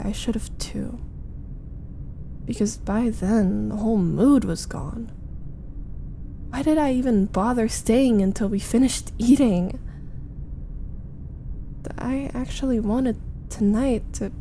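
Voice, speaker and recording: A woman playing a frustrated, jealous, needy young roommate venting in an intimate ASMR roleplay, with halting, dramatic delivery. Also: medium pitch, creaky, mellow, a little hiss or hum. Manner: halting, sighing, dramatic, emphatic complaining. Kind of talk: ASMR roleplay, intimate first-person monologue.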